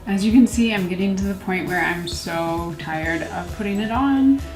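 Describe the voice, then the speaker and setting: A woman in her thirties talks casually to camera as a beauty vlogger. Her voice sits at a medium pitch, and her delivery is informal and chatty, with self-deprecating asides. The sound is noticeably roomy, with the echo of an indoor space. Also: moderate pace, a little mellow, soft background music. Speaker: beauty vlogger, series host. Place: small room at home, indoors.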